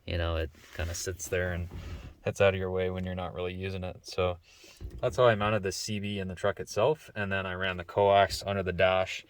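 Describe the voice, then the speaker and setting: A man's voice: sits low, little pitch movement, a little fast, slight background noise. A man hosting a casual how-to vlog, talking informally and thinking aloud as he explains. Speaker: vlog host showing a DIY truck install.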